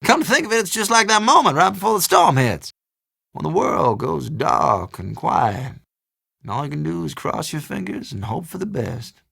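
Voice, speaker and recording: Game role: chatty old country shopkeeper, high creaky voice, dry recording, teasing and folksy. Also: male voice, sing-song, moderate pace, bright, forceful tone, no echo, exaggerated voice. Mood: theatrical, folksy. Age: older. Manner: folksy drawl, colloquial, teasing. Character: folksy, chatty old small-town storekeeper, a man. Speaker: small-town general store keeper. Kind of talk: video game character dialogue.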